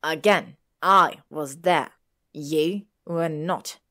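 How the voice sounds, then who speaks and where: A woman's voice: a bass voice, expressive, moderate pace, heavily acted. A woman playing a loyal, protective teenage boy wizard in a story, speaking bluntly and sternly. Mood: snappish.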